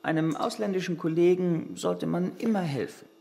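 A courteous police officer in a radio play. His voice is fairly high and creaky. He speaks with measured, cautious politeness. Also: warm tone.

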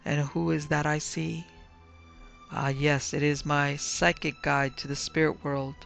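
A man's voice: on the high side, little pitch movement, measured pace, creaky, a bit bright, soft background music. A man voicing a little dried-up fake medium in a storybook, moaning and intoning with theatrical pomp. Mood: solemn trance.

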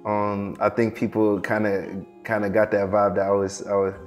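A young man from Morocco, raised in Seattle, talks in a low, even voice that barely rises or falls. He answers softly and haltingly, restarting his sentences. Faint music sits under the studio interview. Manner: halting, many fillers and restarts, soft-spoken. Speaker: guest, performing artist. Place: dark studio set.

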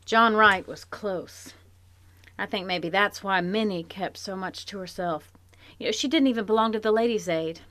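A woman's voice: mid-range voice, normal speed, a bit bright, no echo, close to an everyday voice. Woman playing plainspoken, wry farm wife. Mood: wistful. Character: shrewd, sympathetic farm wife, middle-aged. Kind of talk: live audio drama. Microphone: home closet mic, dry.

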